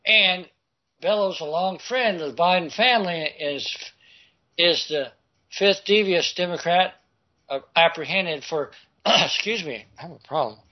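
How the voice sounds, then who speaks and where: A man reading news articles aloud and commenting on them, halting and stumbling over words as he goes. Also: high voice, lo-fi sound. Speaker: political commentary host.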